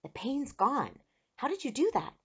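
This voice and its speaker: Storybook boy adventurer, played by a woman: high, loud, bewildered, blurting short startled exclamations. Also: very animated, a little slow. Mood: startled, amazed.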